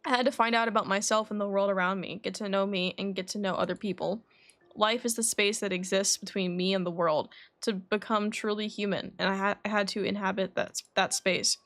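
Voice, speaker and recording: A woman voicing a composed, scholarly young male alchemist from a video game, reading his dialogue in a measured, formal, reflective way. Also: mid-range voice, steady tone, a bit bright, lightly acted.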